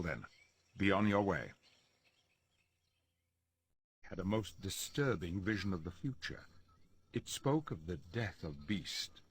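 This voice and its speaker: A composed, low-voiced professor. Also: male voice, breathy edge, exaggerated voice. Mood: grave. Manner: measured, formal. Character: composed, authoritative professor leading a hero team. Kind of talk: video game cutscene acting.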